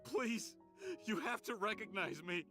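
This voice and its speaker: A man's voice: high voice, lively intonation, unhurried. A man playing a bitter, desperate son in an anime video game, over music. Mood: desperate, pleading. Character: bitter, desperate son, accusing then pleading with mother.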